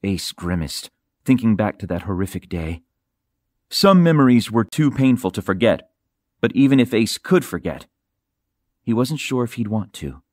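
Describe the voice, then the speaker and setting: A man reading a novel as an audiobook narrator, in a measured, dramatic storytelling manner with careful articulation. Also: fairly low pitch, sing-song, no echo, studio quality. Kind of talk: scripted narration of a science fiction novel.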